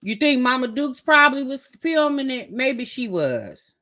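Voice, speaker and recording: A woman's voice: high-pitched, very animated, a little slow, pushed and bright. An American woman giving casual, gossipy reaction commentary in a sassy, conversational way. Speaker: host reacting to a family vlog.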